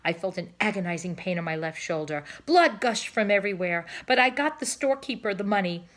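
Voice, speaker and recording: A woman's voice: mid-range voice, expressive, a little fast, close to an everyday voice. A woman voicing a pompous old male swan in a children's storybook, boasting in a grand, melodramatic manner. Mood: boastful, melodramatic. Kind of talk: children's storybook read-aloud.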